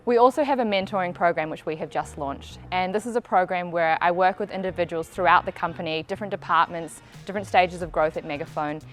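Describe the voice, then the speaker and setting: A woman's voice: fairly low pitch, fast talker, hardly any pauses, faint music behind. An Australian woman narrating a scripted company recruitment video as a presenter.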